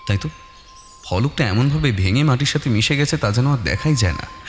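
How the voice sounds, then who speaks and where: A man narrating a detective story in the first person with expressive, dramatic delivery. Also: mid-range voice, fairly quick. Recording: long reverb, music bed. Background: soft music.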